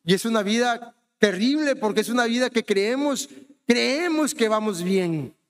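Male preacher, high voice, conversational sermon, asks the congregation questions, long hall echo. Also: lively intonation, moderate pace. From Honduras. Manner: conversational preaching, often asks the congregation "¿verdad?" and says "hermanos".

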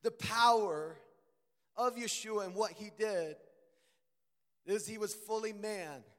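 A man preaching a sermon, his voice hoarse and strained, earnest and rising to emphatic peaks. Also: sits high, takes it slowly, fairly bright tone. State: strained voice, deeper than usual. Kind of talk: sermon reading and expounding scripture. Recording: long hall reverb.

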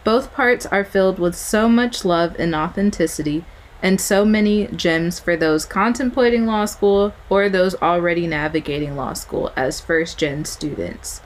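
Lower-voiced woman hosting a podcast. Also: unhurried, rough recording. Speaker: podcast host. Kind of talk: podcast intro and group interview.

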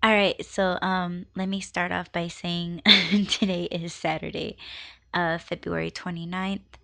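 A young woman hosting her own podcast voice blog, talking casually and haltingly with many fillers, her voice raspy and weak from being sick. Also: lowish voice, little pitch movement, pushed and bright. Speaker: podcast host.

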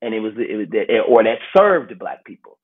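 An American man tells stories in a loose, conversational way, slipping into slangy little quoted exclamations. His voice is husky and not very clean, and it comes through a narrow, phone-like call. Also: on the low side, very animated, moderate pace. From Milwaukee, United States. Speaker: podcast guest, travel series host. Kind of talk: casual conversational storytelling. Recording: thin phone-like call audio.

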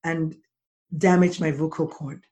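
A middle-aged woman hosting a podcast, with a deep, husky voice from swollen vocal cords, talking slowly and deliberately when she explains. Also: steady tone, a little mellow. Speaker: podcast host. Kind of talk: casual podcast interview.

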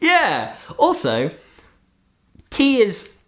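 A man with an English accent, raised in Switzerland, co-hosting a relaxed podcast. He talks in a mid-range voice with a lot of laughter and quick restarts, his pitch jumping up and down as he gets animated. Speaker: podcast co-host. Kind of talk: casual chat.

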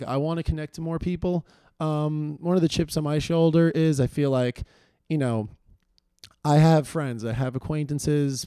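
A hoarse man hosts his podcast, rambling and self-deprecating with lots of fillers. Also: on the high side, dark tone, no room sound, studio quality. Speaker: podcast host. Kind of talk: casual solo intro monologue.

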